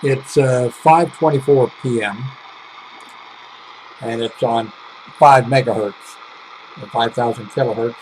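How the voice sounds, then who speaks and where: A man in his seventies talking casually and haltingly in an unscripted home video review, with frequent mid-sentence pauses. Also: mid-range voice, steady tone, slight background noise, low-quality recording. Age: 70s. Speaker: radio hobbyist reviewing a portable shortwave radio. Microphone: webcam microphone.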